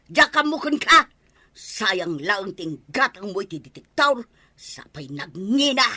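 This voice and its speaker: Nagging, skeptical village wife, sharp animated delivery, Ilocano accent, comedic radio drama. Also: female voice, medium pitch, slow, no echo, exaggerated voice. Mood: scolding. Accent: Ilocano Filipino.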